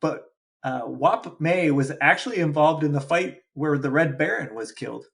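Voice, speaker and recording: A man co-hosting a podcast, chatting animatedly with frequent restarts and excited jumps in pitch. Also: moderate pace, gravelly. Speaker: podcast co-host. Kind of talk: casual chat.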